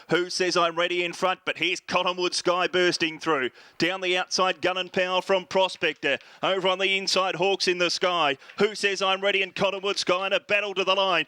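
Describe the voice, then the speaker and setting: An Australian man calls a horse race. His voice is forceful and crisp, held on a fairly even, higher note throughout the commentary. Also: dry recording.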